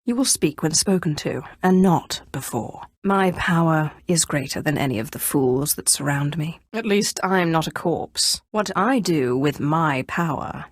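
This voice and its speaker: Low-voiced, imperious Sith sorceress. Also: female voice, animated, dry recording, studio quality. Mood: scornful, commanding. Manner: poised, measured, imperious. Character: commanding, cool-headed female Sith sorceress, haughty yet idealistic. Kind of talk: scripted video game dialogue acting.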